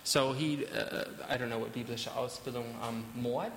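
Bright-voiced hesitant male interpreter. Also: mid-range voice, steady tone, big-room sound, soft background music. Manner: hesitant, halting, many fillers. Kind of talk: live sermon interpreting.

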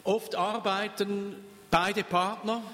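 An older man from Switzerland, preaching in short phrases and pausing for an interpreter. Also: high voice, steady tone, sharp tone, in a large hall. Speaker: pastor. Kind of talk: sermon with consecutive interpreter.

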